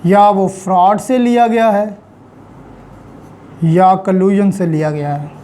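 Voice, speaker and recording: Dark-voiced Pakistani woman giving a lecture. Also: medium pitch, steady tone, slow, slight background noise. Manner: methodical, repeats key points in short phrases. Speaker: law lecturer. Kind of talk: explanatory lecture reviewing legal articles.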